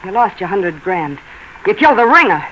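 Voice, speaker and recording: An actress playing a brazen, cornered schemer in 1940s radio noir, speaking with urgent defiance. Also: female voice, mid-range voice, big pitch swings, normal speed, highs cut off, close to an everyday voice. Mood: defiant. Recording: faintly noisy 1940s radio recording.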